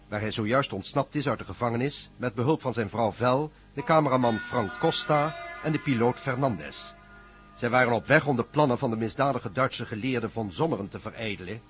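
A man's voice: medium pitch, lightly acted. A man acting as the narrator of a science fiction radio drama, reading a scripted recap. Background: music.

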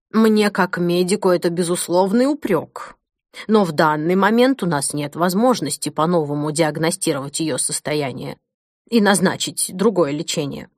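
A woman voicing an elderly male doctor in an audiobook, courteous and formal, measured and hesitant as he admits defeat. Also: fairly low pitch, dry recording, studio quality, voice pushed down. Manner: formal, measured, halting delivery. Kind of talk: audiobook character dialogue.

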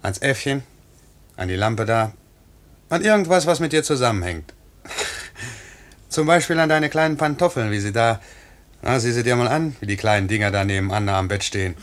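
A man's voice: mid-range voice, lively intonation, moderate pace, long pauses, a bit bright, close to an everyday voice. A man playing a cheerful, teasing pilot in a 1950s German radio play, speaking in a breezy, colloquial way. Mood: tender, teasing. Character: cheerful, teasing night-mail pilot, tender with his young wife.

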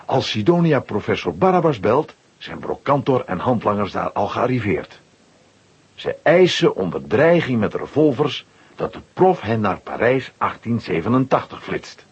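A man's voice: fairly low pitch, very animated, moderate pace, breathy edge. A man narrating a children's audio play in a scripted storytelling style. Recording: slightly dull old audio recording.

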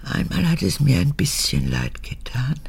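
An actress in a radio drama playing a cold, proud old mother and unrepentant former prison guard, haughty and emphatic, with halting phrases. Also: extremely low pitch, huge pitch swings, creaky, a little mellow, breathy edge, heavily acted. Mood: sulky. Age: older. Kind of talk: German radio drama acting.

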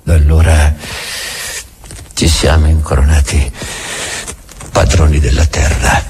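An actor playing a bitter, domineering old Grand Inquisitor in a theatrical radio drama, halting and repeating his words. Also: a bass voice, little pitch movement, darkish tone, slight background noise. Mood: menacing, resolute. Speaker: stage actor.

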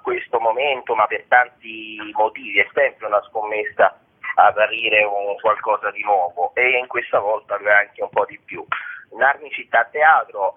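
A man giving a promotional radio interview as a festival director, rephrasing haltingly as he goes. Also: fairly low pitch. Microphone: phone. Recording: tinny narrow phone line.

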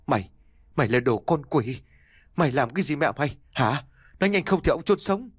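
A man voicing a desperate aging husband who pleads, shouts and sobs over his dying wife. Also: fairly low pitch, expressive, normal speed, no room sound, studio quality. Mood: raging. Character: desperate aging husband, grief-stricken and volatile.

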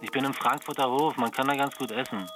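A man playing a smooth, probing travelling buyer in a naturalistic radio drama, with a creaky voice. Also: medium pitch, fast talker, over music, lightly acted. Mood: insistent. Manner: probing, insinuating. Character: smooth, insinuating travelling buyer, a propositioning businessman.

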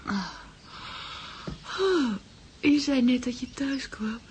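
Dreamy loving young woman in a radio play, slow soft drowsy talk, slight hiss. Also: high-pitched, expressive. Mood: drowsy, puzzled. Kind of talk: Dutch radio drama acting. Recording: noisy radio play recording.

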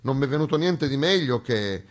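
A man performing a theatrical first-person monologue, telling a story dramatically, moving between hushed and emphatic delivery. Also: mid-range voice, lively intonation, normal speed. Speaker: stage actor and monologist.

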